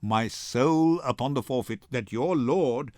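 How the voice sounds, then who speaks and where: A man's voice: mid-range voice, darkish tone, no room sound, clean studio sound, lightly acted. An older man voicing a grave, melancholy, loyal merchant, solemnly, in a dramatic Shakespeare reading. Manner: solemn, deliberate.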